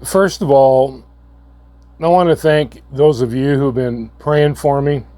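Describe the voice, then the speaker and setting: Slow, hoarse man. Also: medium pitch, fairly even intonation, warm tone, no room sound. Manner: halting, repeats words, slow and earnest. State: hoarse, getting a cold. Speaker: channel host. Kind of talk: casual vlog update.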